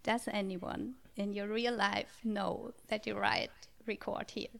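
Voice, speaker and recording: Woman, non-native English speaker, giggly and casual, very slow delivery with a gravelly fry. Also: medium pitch, level tone, a bit bright. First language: not English. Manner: giggly, laughs often. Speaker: panelist on a group question-and-answer chat. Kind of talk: casual chat.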